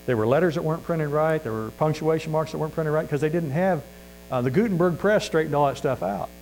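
A man's voice: a little high, quick pace, a little mellow, rough recording. A man with a Missouri accent preaching a sermon in a folksy, earnest way, full of fillers and restarts. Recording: faint mains hum.

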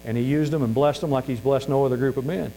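Folksy Missouri preacher, faint hum. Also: male voice, mid-range voice, fast, warm tone, low-quality recording. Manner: folksy and earnest, many fillers and restarts. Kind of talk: sermon.

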